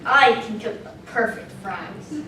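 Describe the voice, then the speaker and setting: A woman's voice: mid-range voice, animated, slow. A woman voicing an earnest, proud eight-year-old boy in a live comedy read. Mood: eager, earnest. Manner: eager, sincere, childlike. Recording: echoey hall, distant mic, background noise.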